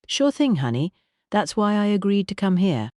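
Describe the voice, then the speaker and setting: A reader voicing a smooth-voiced American songstress. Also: female voice, medium pitch, big pitch swings, normal speed, a little mellow, no room sound, clean studio sound, voice pushed up, lightly acted. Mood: warm, delighted. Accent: soft American. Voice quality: smooth, honeyed drawl. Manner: affectionate, calls everyone honey. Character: tall glamorous American woman entertainer, warm, motherly.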